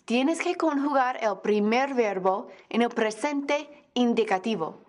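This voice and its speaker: A young woman teaching a grammar lesson on camera in a studio, explaining slowly and carefully to learners. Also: on the high side. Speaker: language teacher. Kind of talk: scripted video lesson.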